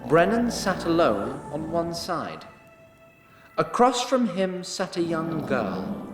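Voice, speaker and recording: Slow, dramatic male narrator in echoing space. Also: fairly high pitch. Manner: measured, dramatic, wry in places. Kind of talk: scripted fantasy story narration.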